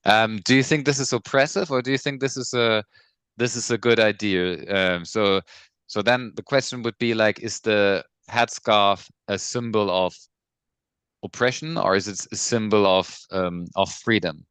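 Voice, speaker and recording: A man's voice: lowish voice, moderate pace, fairly bright tone, clear, resonant tone, no echo. A German man whose first language is German, hosting a casual podcast talk and asking questions with plenty of hedging fillers. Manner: questioning, hedging with lots of "you know" and "um". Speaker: podcast host. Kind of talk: casual conversation.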